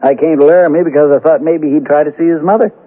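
A man's voice: on the high side, steady tone, quick pace, lightly acted. An actor playing a sober, troubled western lawman in a 1970s radio drama, speaking in a plain, probing way. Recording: muffled vintage radio broadcast recording.

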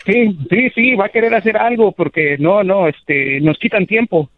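A man calling in to a radio show, adoring and halting, with many fillers and restarts. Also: sits high, no room sound. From United States. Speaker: radio caller. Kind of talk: phone call to a radio show. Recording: tinny phone line.

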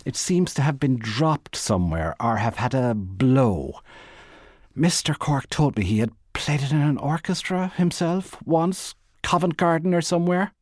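A man voicing a polite, flustered young woman who chatters and tries to stay calm, in an audiobook story. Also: a little high, measured pace, dry recording, studio quality, pitched above the performer's own voice. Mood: polite, nervous chatter. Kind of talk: literary audiobook character voice.

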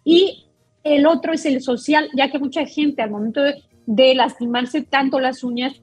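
A woman's voice: sits high, fairly even intonation, normal speed, no room sound, soft background music. A woman doctor, explaining a topic patiently as a guest on a talk show. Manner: explains patiently, with many fillers and restarts.

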